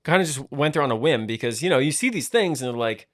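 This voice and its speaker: Male podcast host, mid-range pitch, dry close mic, casual upbeat chatter. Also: animated, fast talker, dry recording. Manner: chatty, upbeat, full of fillers.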